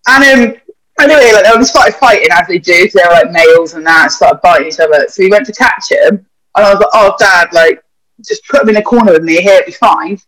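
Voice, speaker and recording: High-voiced young man telling sweary stories. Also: brisk, a bit bright, rough recording. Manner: rambling, sweary storytelling with lots of fillers. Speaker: vet. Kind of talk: casual podcast chat.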